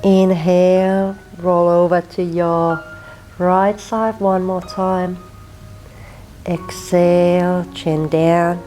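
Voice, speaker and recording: Calm, slow Finnish woman guiding yoga. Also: fairly low pitch, soft background music. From Finland. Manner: slow, soothing cueing of breath and movement. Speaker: yoga teacher. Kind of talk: guided yin yoga instruction.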